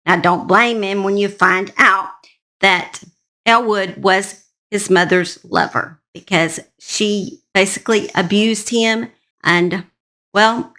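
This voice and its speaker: A woman's voice: mid-range voice, slow, sharp tone. A woman with a Southern US accent, earnestly narrating true crime stories as an online host. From Southern United States. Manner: earnest, serious.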